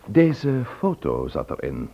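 A man's voice: low voice, huge pitch swings, takes it slowly, creaky, exaggerated voice. An actor playing a courteous, persistent man investigating a murder in a radio drama, with hesitant fillers before his pointed questions. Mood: polite, persistent. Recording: dull, lo-fi old radio recording.

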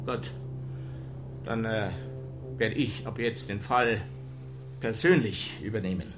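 An actor playing a blustering middle-aged police chief who scolds indignantly in a radio crime drama. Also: male voice, lowish voice, takes it slowly, music in the background. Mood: curt. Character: irascible middle-aged male police chief, easily driven to rage.

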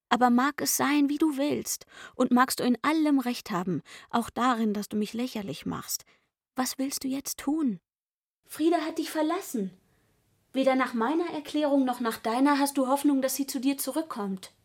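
A woman's voice: sits high, expressive, normal speed, darkish tone, no echo, studio quality. An actress playing a coaxing, wistful young working girl in a radio play, confiding and eager as she speaks. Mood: resigned, challenging, then coaxing, persuasive. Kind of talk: literary radio play acting.

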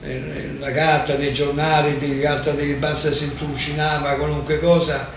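An older man recalls his past in a halting way, repeating himself. His voice is fairly high and creaky, picked up from a distance in a very echoey room with faint noise. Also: flat delivery. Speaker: war deportee giving testimony. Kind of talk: spoken recollection.

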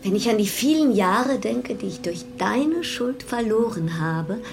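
A seductive lover with gravelly fry. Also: female voice, medium pitch, animated, a little slow, music in the background. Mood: insistent, probing. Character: passionate, insistent married woman, seductive lover. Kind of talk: German radio drama acting.

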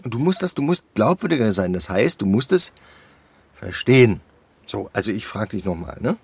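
An actor playing a pushy, blunt activist in a radio drama, husky and insistent, talking in a colloquial, prodding way. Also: male voice, lowish voice, sing-song, normal speed, full character voice. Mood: insistent, instructing. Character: pushy, blunt male activist coaching a young woman. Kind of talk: naturalistic radio drama acting.

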